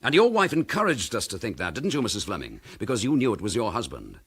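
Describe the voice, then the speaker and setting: An actor playing a calm, firm police chief inspector in a classic radio drama, polite but commanding. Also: male voice, on the low side, quick pace, slightly rough, full character voice. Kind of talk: 1950s-style radio drama acting.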